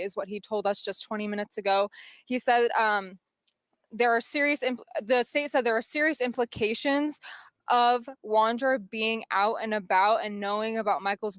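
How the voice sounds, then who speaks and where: An American woman giving a live TV news field report, rephrasing haltingly as she goes. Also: fairly high pitch, no room sound, clean studio sound.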